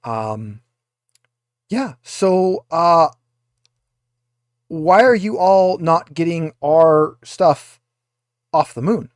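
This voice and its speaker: A man's voice: on the high side, animated, extremely slow, pauses often, no echo. Man voicing a haughty corporate woman, close and dry. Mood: indignant, condescending. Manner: dismissive, condescending, hesitant informal delivery. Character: haughty, impatient upper-crust corporate woman liaison.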